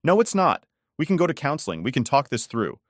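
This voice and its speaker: A man's voice: fairly high pitch, sing-song, brisk, no echo, studio quality, voice pushed up. A man voicing a young wife caught lying, defensive and then tearfully pleading, in a raised, strained voice.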